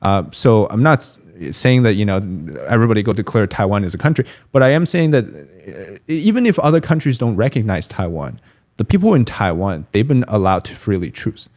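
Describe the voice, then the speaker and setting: Low-voiced man analyzing politics. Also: big pitch swings, a little fast, darkish tone. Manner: thoughtful, many fillers and restarts, analytical. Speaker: guest commentator. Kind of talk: podcast interview, explaining politics.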